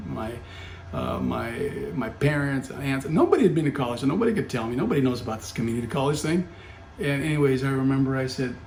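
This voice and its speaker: A man's voice: on the high side, darkish tone. A middle-aged man telling a personal story in casual talk, full of fillers and self-corrections. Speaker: podcast guest, company founder. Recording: roomy echo with faint background hum.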